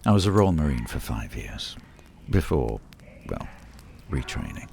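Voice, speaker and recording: A man acting as a confident, secretive ex-marine in an audio drama, measured and assertive. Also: a bass voice, sing-song, creaky, a little mellow, a little hiss or hum, full character voice. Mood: assured, explaining. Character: secretive, confident ex-marine man plotting a time-travel plan.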